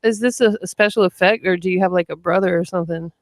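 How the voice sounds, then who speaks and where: Gravelly-voiced upbeat podcast host. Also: mid-range voice, steady tone, fast, background noise. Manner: upbeat, encouraging interviewer. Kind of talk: casual podcast interview.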